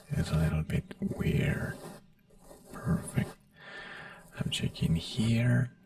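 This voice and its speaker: A man speaking softly and gently as an ASMR roleplay doctor, reassuring the listener in halting, whispery phrasing. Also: deep voice, gravelly, no room sound, distant mic. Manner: halting non-native phrasing with fillers. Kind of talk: soft-spoken ASMR roleplay.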